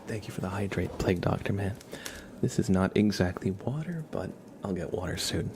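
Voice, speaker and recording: A man hosting an ASMR livestream in a soft, gentle, encouraging voice that often slips into whispering. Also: low voice, lively intonation, brisk, noisy background. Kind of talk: ASMR, soft-spoken live stream with whispering.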